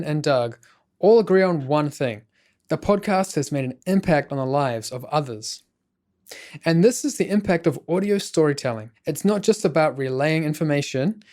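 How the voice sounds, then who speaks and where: A man's voice: fairly high pitch, darkish tone. A man reading scripted narration as the host of a podcast.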